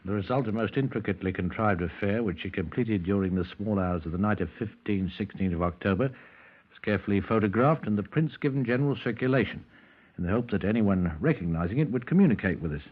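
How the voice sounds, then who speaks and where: An actor playing a laconic, dogged and faintly weary British police sergeant with a husky voice, in a 1950s radio drama on a tinny old recording. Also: male voice, fairly low pitch, animated, fast talker. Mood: formal. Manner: laconic, wry.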